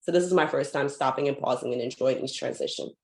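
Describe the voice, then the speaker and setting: A young woman from Guyana, a foundation founder, talking casually as a guest in a video-call interview, full of "like" fillers and lively while telling stories. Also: a bass voice, fast talker. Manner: chatty, many "like" fillers, animated when telling stories. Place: home in Hawaii.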